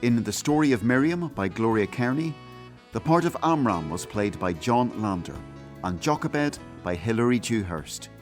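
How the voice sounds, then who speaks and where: A man's voice: mid-range voice, animated, moderate pace, darkish tone. A man reading scripted credits as the announcer of a radio drama. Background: music with guitar.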